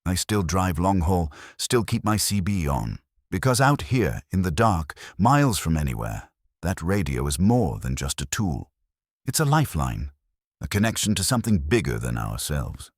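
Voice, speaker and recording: Very deep-voiced male horror storyteller. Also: very animated, moderate pace, no room sound, clean studio sound. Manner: dramatic, suspenseful storytelling. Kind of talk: scripted first-person narration of trucker horror tales.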